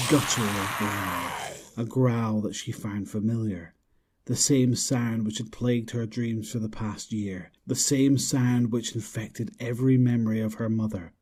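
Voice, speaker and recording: A man's voice: medium pitch, dark tone, husky. A man reading scripted narration for a horror audio drama.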